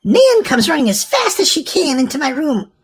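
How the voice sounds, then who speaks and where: A woman reading a children's storybook aloud as an expressive narrator. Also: high-pitched, normal speed. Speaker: storyteller for a children's book channel.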